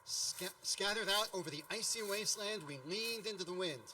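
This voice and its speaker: Bright-voiced wry storyteller, played by a man. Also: sits high, normal speed, distant mic, exaggerated voice, low-quality recording. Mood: mock-epic. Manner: wry, mock-epic. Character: grown man wryly recalling his boyhood. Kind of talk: scripted radio play narration.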